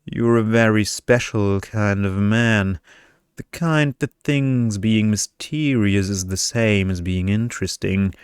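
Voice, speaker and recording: A man's voice: fairly low pitch, slow, clear, resonant tone, no echo, clean studio sound. A man voicing a witty, defiant young woman with a mocking, confident manner in a story. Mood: amused.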